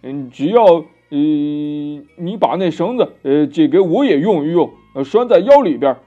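A man's voice: fairly high pitch, lively intonation, measured pace, warm tone. A man voicing a cowardly, whining pig-man disciple who blubbers and hesitates, in a storybook telling. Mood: nervous bluster. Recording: dull lo-fi storytelling recording with music.

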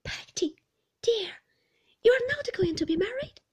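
A woman voicing an anxious, fragile young mother in a storybook, speaking in a gentle, fluttered, pleading manner. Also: extremely high pitch, expressive, measured pace, voice pushed up, exaggerated voice. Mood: tender, anxious.

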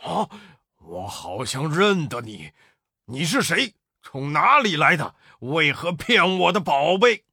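一位男子在故事中扮演一个吃惊的妖怪，连声质问。. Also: male voice, fairly high pitch, big pitch swings, slow, creaky, sharp tone, husky, no echo, clean studio sound, full character voice. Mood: startled. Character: startled male demon, fierce monster.

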